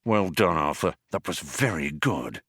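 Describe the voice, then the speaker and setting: A man voicing a pained, quick-witted alien man who hisses and blurts out his words urgently. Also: high-pitched, fairly even intonation, sharp tone, clean tone, dry recording, clean studio sound, pitched above the performer's own voice. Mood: urgent, hissing. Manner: hissing, urgent.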